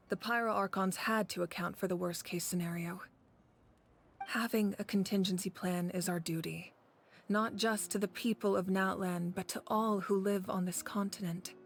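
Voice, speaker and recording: An actress playing a confident, caring woman who leads warriors in a video game, speaking in a composed, resolute and measured way. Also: medium pitch, slight background noise, lightly acted. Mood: grave, resolute. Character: confident, caring warrior leader woman, former fire deity. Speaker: game character. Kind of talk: scripted video game voice acting. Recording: game audio with background music.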